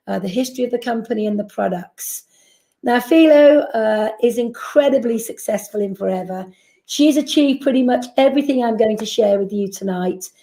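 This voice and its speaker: A woman's voice: a little high, warm tone, reverberant. A woman giving a persuasive business presentation, asking rhetorical questions and answering them. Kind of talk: sales presentation.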